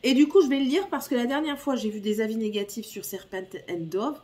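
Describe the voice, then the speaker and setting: Hoarse woman with a cold, slightly off-mic. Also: on the high side, brisk, a little mellow, recorded from a distance. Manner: chatty, apologetic, coughing now and then. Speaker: book YouTuber. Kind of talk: casual reading-list chat, reading blurbs aloud. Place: home.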